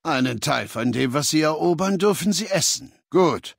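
An actor playing an imperious, menacing warlord ruler in a video game, speaking in a commanding, condescending, deliberate manner. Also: male voice, fairly high pitch, lively intonation, vocal fry, no echo, clean studio sound. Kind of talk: video game dialogue in German dub.

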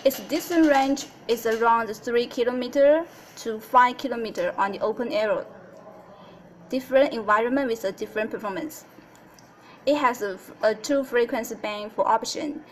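Female product demonstrator, slightly high voice, hesitant non-native English tutorial delivery, light room noise behind. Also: low-quality recording. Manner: halting, repeats phrases while explaining in non-native English. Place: indoors at a desk.

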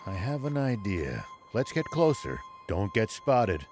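A shrewd old lawman from a video game, stern and deliberate. His voice sits low and moves widely as he weighs things up, with music underneath. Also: very animated. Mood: confident, commanding. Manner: measured, deliberate, musing. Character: stern, shrewd old sheriff, a human man. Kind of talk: video game cutscene acting.

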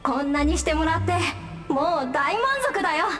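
Woman as a young male ghost, high voice, gentle, grateful, sincere, anime game acting, clear music behind. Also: a little fast, close to an everyday voice. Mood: contented, grateful. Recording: studio voice, clear background music.

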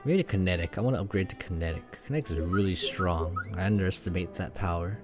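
A man's voice: deep voice, fast. A man giving casual commentary as he plays through a video game. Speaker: let's play streamer. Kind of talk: reading a visual novel aloud and casual gameplay commentary. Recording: game music behind the voice. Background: game music.

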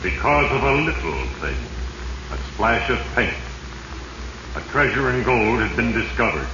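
An actor playing Fate, a sinister, solemn narrator who speaks deliberately and ominously in a 1940s radio drama. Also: male voice, medium pitch, big pitch swings, muffled recording. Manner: heavy-handed, ominous, deliberate. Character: Fate, sinister, solemn, all-knowing male narrator addressing the doomed. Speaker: narrator and series host. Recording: echoey, noisy 1940s radio recording.

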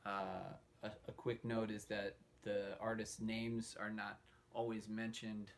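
Gravelly, even-toned man hosting. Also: on the low side. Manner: halting, pausing often mid-sentence. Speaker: host introducing a puppetry showcase. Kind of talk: informal spoken introduction to a livestream. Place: indoor room hung with fabric backdrops. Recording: noisy room recording.

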